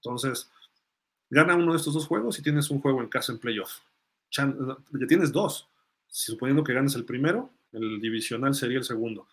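A man's voice: mid-range voice, moderate pace, a bit bright. A man hosting a live sports fan podcast, chatting casually and thinking aloud as he gives his takes and answers listener comments.

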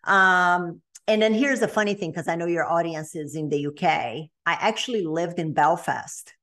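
A woman's voice: on the low side, normal speed, fairly bright tone. A woman in her late thirties with a Brazilian accent, a guest on a podcast telling her story in a chatty way with lots of ums and restarts. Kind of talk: casual podcast interview.

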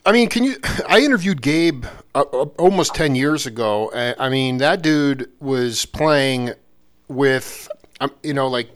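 A man's voice: medium pitch, lively intonation, a little slow. A man hosting an interview, asking long, loose questions full of slang and restarts. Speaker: interviewer host.

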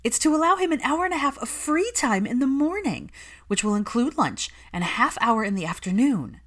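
A woman voicing a giddy, chirpy young nanny witch in an audiobook, animated and perky. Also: on the high side, brisk, pitched above the performer's own voice. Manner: animated, perky, sing-song with excitement. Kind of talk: audiobook character voice.

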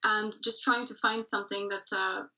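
A woman's voice: mid-range voice, fairly even intonation, moderate pace, pushed and bright, far from the mic, low-quality recording. A woman musician talking in a casual interview, reflective and searching for words with many fillers and restarts, speaking English as her first language. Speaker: musician guest.

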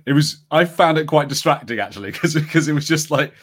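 A man's voice: on the high side, lively intonation, fairly quick. A man co-hosting a podcast, giving his opinions in casual, conversational panel chat. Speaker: podcast co-host.

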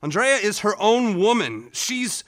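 A man's voice: high-pitched, very animated, a little slow, fairly bright tone, dry recording, clean studio sound, heavily acted. A man playing a jittery, defensive police officer in an audio drama, stammering and easily flustered.

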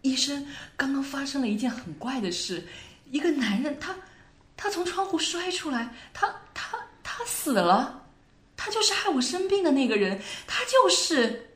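A woman plays a sick, frightened young woman in an amateur radio drama. Her voice is high and swings widely, breaking into agitated stammers. It sounds echoey, as if in a live room. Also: sing-song, moderate pace, a bit bright. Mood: panicked, pleading.

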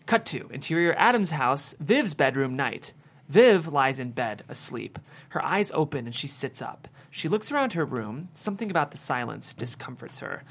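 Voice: mid-range voice, big pitch swings, moderate pace, breathy edge. A narrator reading the stage directions of a screenplay at a table read.

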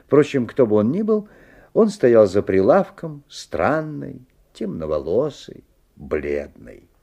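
An actor playing a genial father who narrates a story in a 1970s radio play, theatrical, with deliberate pauses. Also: medium pitch, big pitch swings, vocal fry, dark tone, husky, heavily acted. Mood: storytelling, amused. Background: faint music.

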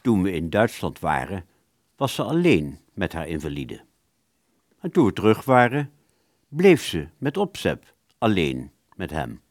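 A man's voice: low voice, big pitch swings, unhurried, no room sound, studio quality, full character voice. An actor in a radio play playing a weary, grumbling male musician with an emphatic delivery. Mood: subdued recounting. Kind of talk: Dutch radio play acting.

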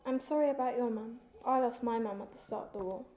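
A female performer playing a timid, kindly bullied orphan girl in a wartime drama, hesitant and gentle. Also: on the high side, fast, close to an everyday voice. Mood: subdued.

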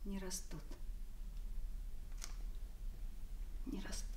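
A woman's voice: brisk, a little mellow, slight background noise, close to an everyday voice. An actress playing a flustered, tender, earnest woman in her thirties in a radio play, nervously repeating herself. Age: 30s.

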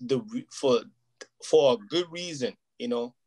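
A man's voice: mid-range voice, lively intonation, measured pace, a little mellow. A man whose first language is Portuguese, talking earnestly and at length as an interview guest, often checking "you know what I mean". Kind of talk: casual interview chat.

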